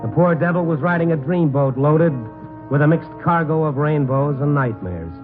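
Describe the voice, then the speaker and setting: An actor playing a world-weary, wisecracking reporter in a hardboiled 1950s radio noir, both narrating and in scene. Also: male voice, on the high side, normal speed, close to an everyday voice. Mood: wry, brooding. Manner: hardboiled, wry, terse. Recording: narrow, muffled 1950s radio broadcast. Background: dramatic background music.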